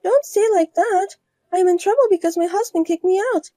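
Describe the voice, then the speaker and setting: A woman putting on a shrill, pleading schemer. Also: a little fast, mellow, dry recording. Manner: whiny and pleading. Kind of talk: storybook voice acting.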